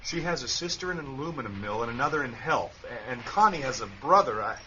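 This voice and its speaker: A man playing a suspicious, brooding astronaut doctor in a radio play, speaking in halting, broken phrases. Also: medium pitch, lively intonation, lo-fi sound, background noise, lightly acted. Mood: probing, reasoning.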